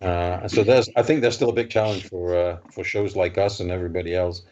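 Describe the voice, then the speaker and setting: A man's voice: deep voice, steady tone, brisk, a little mellow. A man from the Netherlands, a model show organizer, talking in a casual podcast chat, hesitant with many restarts. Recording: dull lo-fi remote audio.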